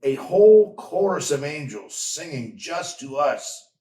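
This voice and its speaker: A man voicing an excitable young shepherd, an older brother in a storybook, eager and amazed. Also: a little high, a little slow. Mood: excited wonder. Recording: roomy echo, distant from mic.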